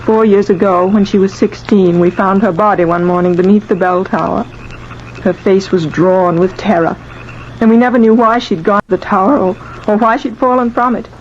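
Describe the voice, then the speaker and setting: An actress playing a frightened, haunted young bride-to-be in halting, earnest radio-drama style. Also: female voice, medium pitch, steady tone, close to an everyday voice. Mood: haunted, sombre. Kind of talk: 1950s radio drama acting. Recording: dull, noisy old radio recording.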